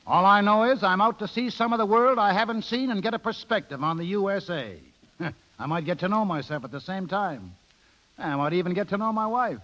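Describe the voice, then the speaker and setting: An actor playing an eager, good-natured retired American businessman, hearty and stammering with excitement, in a 1930s radio drama broadcast. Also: sits high, fairly quick, lo-fi sound. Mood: cheerful, earnest.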